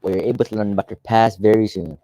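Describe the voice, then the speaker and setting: A man hosting a radio show with scripted, deliberate, presentational announcing. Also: lowish voice, fairly even intonation, warm tone, no echo.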